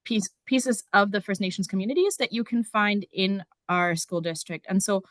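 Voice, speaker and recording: A woman's voice: mid-range voice, normal speed, fairly bright tone, no echo, clean studio sound. A woman from Germany, a district numeracy teacher, explaining casually with frequent ums during a conference talk over a video call. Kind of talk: conference presentation.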